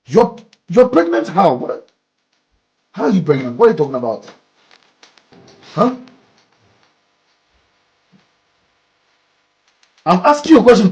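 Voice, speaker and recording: A man playing a defensive, hot-tempered young man who shouts in disbelief and then drops to a tense whisper. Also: fairly high pitch, breathy edge, dull audio, heavily acted. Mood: outraged. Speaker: young man confronted by his partner. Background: music.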